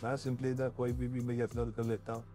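A man talking through software steps in an unscripted tutorial, in a plain explaining way. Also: medium pitch, monotone, brisk, faint music behind.

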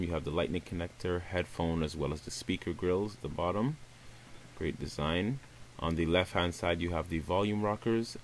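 A man, casually talking viewers through a phone unboxing in a relaxed, upbeat way. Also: low voice, a little hiss or hum. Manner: relaxed, upbeat presenter addressing viewers as guys. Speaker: tech reviewer.

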